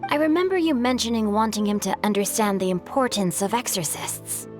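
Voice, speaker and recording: A woman's voice: mid-range voice, brisk, music in the background, close to an everyday voice. A woman playing a refined, courteous teenage boy scholar in a video game, with polished, formal, eloquent speech. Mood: gracious.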